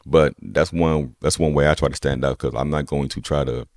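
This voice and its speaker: Very deep-voiced young man, fast talker. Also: no echo, studio quality. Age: young adult. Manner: relaxed, rambling, lots of "you know what I'm saying". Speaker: personal trainer, podcast guest. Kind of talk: casual conversation.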